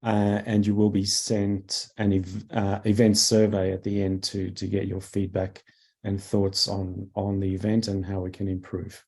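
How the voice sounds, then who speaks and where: A man with a croaky voice from a cough, presenting a webinar as a product manager, halting with fillers and clearing his throat. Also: sits low, completely flat delivery, darkish tone.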